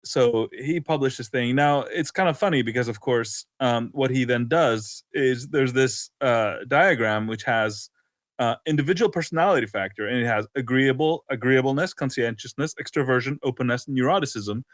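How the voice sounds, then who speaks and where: A man's voice: mid-range voice, normal speed, no room sound. A man co-hosting a casual, opinionated talk show, often breaking off and rephrasing as he goes. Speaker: podcast co-host.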